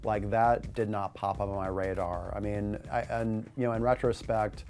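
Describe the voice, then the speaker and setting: A man talking conversationally in an interview as a game writer, with an even delivery. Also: fairly low pitch, moderate pace, soft background music.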